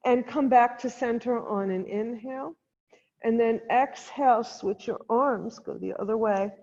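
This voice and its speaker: A woman teaches chair yoga with slow, gentle step-by-step instructions and pauses between cues. Her voice is soft-edged and mellow. The sound carries a long hall reverb. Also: fairly high pitch, lo-fi sound.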